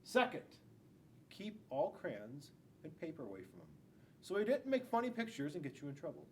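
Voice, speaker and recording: A middle-aged man reads a children's story in a lively, swooping voice that sits a little high. He leans hard on key words and drops in asides for his young listeners. There is a clear low rumble behind him in the classroom. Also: normal speed. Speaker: school resource police officer. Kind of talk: children's picture book read-aloud. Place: indoors in a school classroom.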